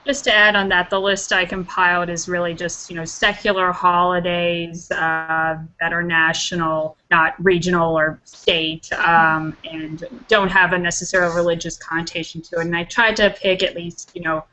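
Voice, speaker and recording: Woman intern on a video call, low-ish bright voice, casual with fillers. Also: little pitch movement, moderate pace, few pauses, rough recording. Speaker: intern and volunteer. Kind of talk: casual online meeting chat.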